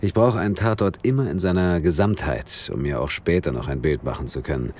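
Man playing a very deep-voiced, playful investigator. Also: brisk, darkish tone, a little hiss or hum, close to an everyday voice. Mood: thoughtful, curious. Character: playful, perceptive male crime-scene investigator on holiday. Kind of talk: naturalistic German radio drama acting.

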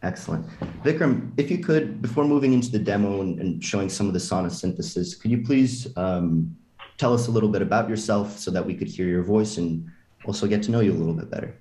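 A man's voice: lowish voice, a little fast, warm tone, reverberant. A man on a video call panel, talking as a startup CEO, often hesitating with fillers and restarts.

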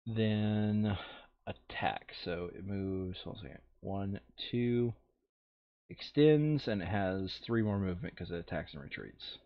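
A man's voice: on the low side, a little slow, muffled recording. A man playing a board game over voice chat, thinking aloud casually and muttering counts and rules to himself.

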